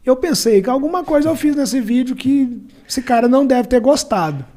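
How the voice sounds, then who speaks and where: A man's voice: extremely high pitch, expressive, normal speed, mellow. A Brazilian man telling a personal story in colloquial, emphatic conversation, acting out the dialogue as he goes. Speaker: podcast guest, entrepreneur and former beach water vendor.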